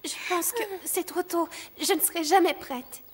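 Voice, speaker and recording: Cartoon casting: outspoken young heroine, high voice, cheeky and spirited. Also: female voice, normal speed. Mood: discouraged. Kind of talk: cartoon voice acting.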